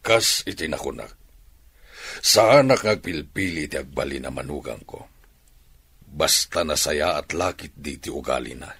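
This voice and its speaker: A man's voice: rumbling low, lively intonation, measured pace, vocal fry, fairly bright tone, breathy edge, exaggerated voice. A man acting a stern but fatherly middle-aged rancher with an Ilocano Filipino accent in a radio drama. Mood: reproving, advising.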